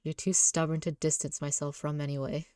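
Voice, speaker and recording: A brooding young man, acted out by a woman, low-pitched and close in a dry recording. He is battered and guilt-ridden, talking bluntly and wearily like a gruff love interest in a dating game. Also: little pitch movement, a little fast, dark tone, no room sound, lightly acted. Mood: grudging.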